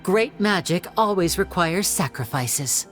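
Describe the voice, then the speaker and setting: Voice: mid-range voice, expressive, fairly bright tone, soft background music. An actor playing a suave, confident young male stage magician in a video game, speaking in a theatrical, teasing, explanatory way. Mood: thoughtful, confident. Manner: theatrical, measured, explanatory showmanship. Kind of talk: video game character acting.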